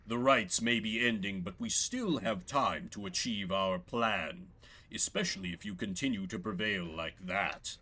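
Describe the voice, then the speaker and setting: A man voicing a courteous, fatherly older male leader in a fantasy game, speaking formally and with measured eloquence. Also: fairly low pitch, expressive, bright, forceful tone, heavily acted. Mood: grave encouragement.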